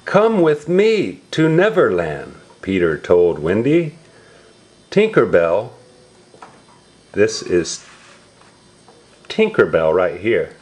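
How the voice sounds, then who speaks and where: Male storybook reader for little kids; lowish, very animated intonation, simple repeated explanations. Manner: simple, repetitive, drawn-out words with exclamations. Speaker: storybook reader for young relatives. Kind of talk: read-aloud storytelling to young children, explaining pictures and words.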